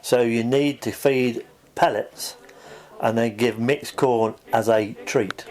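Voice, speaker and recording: A man of medium pitch answers as a practical expert. His delivery is informal, matter-of-fact and plain-spoken. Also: steady tone, slow pace, a little mellow. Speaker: farm technician, poultry expert.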